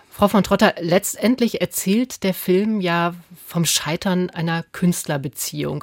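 A woman with a fairly low voice moderates a cultural radio interview. She speaks close to a studio microphone, and the sound is dry, with hardly any room in it. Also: no echo.

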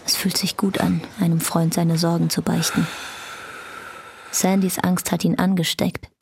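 A woman's voice: lowish voice, little pitch movement, a little slow, gravelly, background noise. A woman narrating a domestic radio drama in the third person, reading scripted literary prose. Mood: measured.

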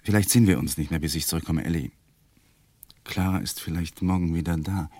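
An actor playing a troubled, guarded lawyer in a radio drama, speaking tersely and politely. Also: male voice, deep voice, a little fast, a little mellow, no echo. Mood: guarded, subdued.

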